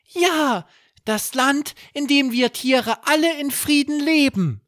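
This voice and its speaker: A man voicing a tiny, timid, excitable young plush animal in a children's bedtime story. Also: extremely high pitch, takes it slowly, no echo, clean studio sound, voice pushed up, heavily acted. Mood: eager.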